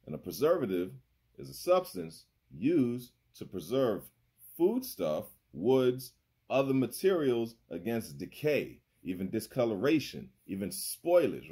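A man's voice: mid-range voice, dark tone, slightly rough. A man in his thirties preaching a sermon from a small room into a phone, conversational and laughing easily, often asking "right?". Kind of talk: casual sermon over a video call. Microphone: phone held close.

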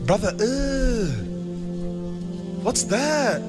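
A man voicing a sneering young woman who is disgusted, in a high, drawn-out squeal. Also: animated, over loud music, heavily acted.

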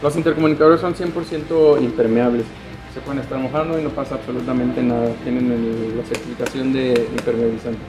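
Young man, Mexican Spanish accent, casual sales talk, echoey room, clear background music. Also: medium pitch, fairly even intonation, fast. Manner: casual, many "este" fillers. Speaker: sales adviser. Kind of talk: product explanation. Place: motorcycle gear shop, indoors.

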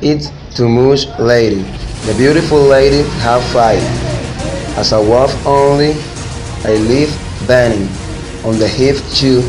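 A young man with native Spanish narrates a fairy tale for a school play. He reads slowly and haltingly at a middle pitch, with music clearly behind him. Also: rough recording. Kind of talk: scripted storybook narration in non-native English.

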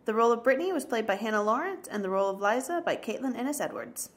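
A woman's voice: fairly high pitch, sing-song, very fast. A woman co-hosting a podcast, casual and playful in her delivery. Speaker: podcast co-host. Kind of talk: casual hosting chat and credits.

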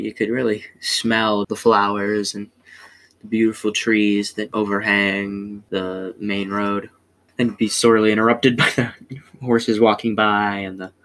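Young male storyteller, low and monotone, halting delivery, scene-setting narration. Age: young adult. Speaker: student storyteller. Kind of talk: descriptive second-person storytelling.

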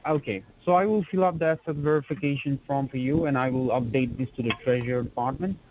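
A man's voice: mid-range voice, darkish tone, slight background noise. Insistent man on a phone line. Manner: insistent and formal, with halting restarts. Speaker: phone scammer posing as an officer. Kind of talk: scripted phone call.

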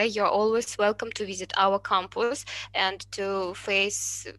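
A woman in her thirties fields questions in an online university webinar through a headset mic. She talks in a bright, forceful voice at a middle pitch. Her English is careful and a little halting. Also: dry recording, poor audio. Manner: careful, slightly halting non-native English. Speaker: university admissions presenter. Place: indoors with a virtual background. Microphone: headset on a video call.

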